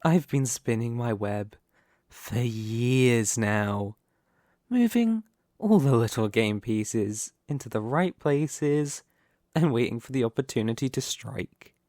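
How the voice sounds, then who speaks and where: A man playing a possessive, scheming young mafia boss in an ASMR roleplay, speaking in a deliberate, condescending, theatrical way. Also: mid-range voice, expressive, a little mellow, no room sound, clean studio sound. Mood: smug, gloating.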